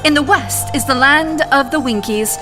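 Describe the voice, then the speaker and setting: A woman's voice: high voice, lightly acted. An actress playing a kindly, commanding good witch who speaks formally in an audio drama. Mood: grave, explaining. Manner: authoritative, formal, measured. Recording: music under the voice.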